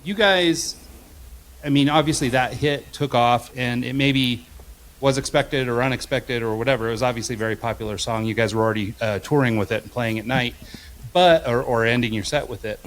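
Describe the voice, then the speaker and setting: A man's voice: mid-range voice, brisk, rough recording. A man hosting a podcast, asking long, winding questions full of hedges. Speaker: podcast host. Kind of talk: casual interview questions.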